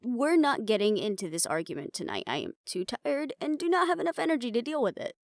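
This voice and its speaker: A woman's voice: a little high, big pitch swings, fairly quick, no room sound. A woman playing an uptight, weary researcher in a fantasy audio drama, with a dry, exasperated way of talking. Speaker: voice actor in an audio roleplay. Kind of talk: fantasy audio drama roleplay, conversational acting.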